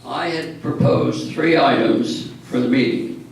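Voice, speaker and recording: A man with a gravelly, creaky voice speaks slowly and deliberately to a town board. He makes measured, pointed remarks. He sounds distant, caught in a very echoey meeting hall with faint noise behind. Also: medium pitch. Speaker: town commissioner at a public meeting.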